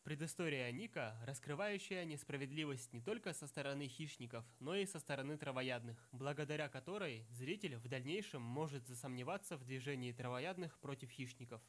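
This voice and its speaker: A man narrates a film review from a prepared script. His voice sits at an average pitch for a man, and he delivers his points as commentary. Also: lively intonation, a little fast, few pauses, slight background noise.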